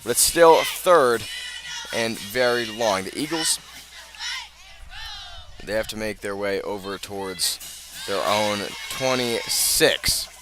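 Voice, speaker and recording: A man with an American accent giving live play-by-play football commentary for radio, measured when setting the scene and urgent as the action builds. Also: on the low side, over music. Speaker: play-by-play sports announcer.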